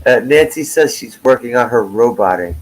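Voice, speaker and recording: A man's voice: mid-range voice, animated, normal speed, rough recording. Casual, cussing man in his forties. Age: 40s. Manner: loose and profane, greets chat viewers. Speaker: livestream host. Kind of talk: casual chat. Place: at home.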